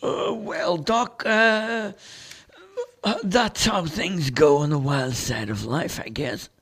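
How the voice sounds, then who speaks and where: A man voicing Dale, a homeless street artist who talks earnestly and dreamily, read from a play script with a gravelly edge. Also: fairly high pitch, slow, fairly bright tone, voice pushed up, exaggerated voice. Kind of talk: amateur play read aloud.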